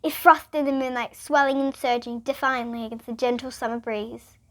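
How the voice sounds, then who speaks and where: A female reader, reading a children's picture book aloud in an expressive, emphatic way. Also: fairly high pitch, normal speed.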